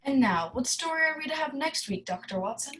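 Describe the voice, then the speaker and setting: A woman's voice: sits high, lively intonation, brisk, fairly bright tone, a little hiss or hum. A woman playing a polished old-time radio announcer. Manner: smooth announcer's patter. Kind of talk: 1930s-style radio announcing.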